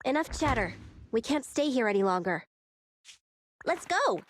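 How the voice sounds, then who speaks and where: A woman playing a bossy, brash young gang leader in an animated game cutscene, speaking in a commanding, exasperated way. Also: on the high side, normal speed, slight background noise. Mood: decisive.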